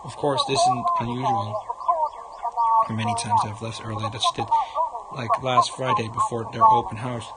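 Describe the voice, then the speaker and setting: A man's voice: high voice, wildly swooping pitch, moderate pace, creaky. A man livestreaming a visual novel, reading it aloud and commenting, often stumbling over the words. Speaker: game livestreamer. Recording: loud background noise.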